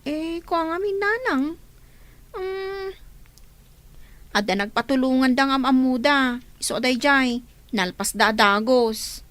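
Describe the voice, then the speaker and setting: Radio comedy: reluctant student girl woman performer, very high voice, Ilocano accent, dry sound. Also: expressive, slow, pauses often, fairly bright tone, no echo, exaggerated voice. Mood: sheepish excuses. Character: reluctant young female student making excuses to mother.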